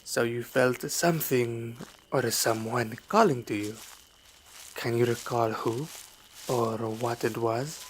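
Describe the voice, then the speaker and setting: Man playing a slow-talking, suave, sinister vampire. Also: mid-range voice, a little hiss or hum, lightly acted. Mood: courteous, sly. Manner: formal, courteous, deliberate, quietly menacing. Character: suave, sinister male vampire luring a traveler. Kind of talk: ASMR roleplay monologue. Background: night forest ambience.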